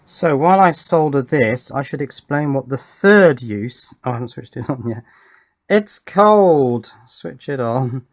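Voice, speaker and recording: A man's voice: mid-range voice, animated, unhurried. A man giving an unscripted hands-on build commentary as an electronics hobbyist presenter, thinking aloud in an informal, explanatory way.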